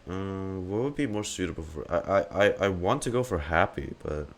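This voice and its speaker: A man's voice: deep voice, expressive, normal speed. A man casually reacting to a video game as a streamer, playfully talking back to the characters.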